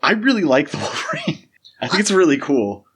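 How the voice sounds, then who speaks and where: A man's voice: a little high, huge pitch swings, a little fast, fairly bright tone, husky. A man co-hosting a podcast, discussing films in a conversational, explanatory way. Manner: conversational, explanatory, uses "like" and "you know" often. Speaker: podcast co-host. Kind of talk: casual film discussion podcast.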